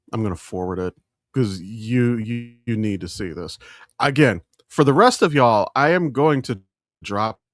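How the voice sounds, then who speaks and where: A man's voice: fairly low pitch, no echo, studio quality. A man co-hosting a podcast, talking casually and opinionatedly in a blunt, profane way. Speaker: podcast co-host.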